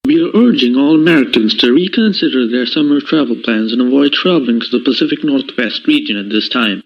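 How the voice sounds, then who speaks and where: A man's voice: mid-range voice, natural voice. Man playing a stern official, muffled radio sound. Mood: stern, urgent. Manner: official, deliberate. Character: male government health official, stern and formal. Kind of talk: formal public health statement.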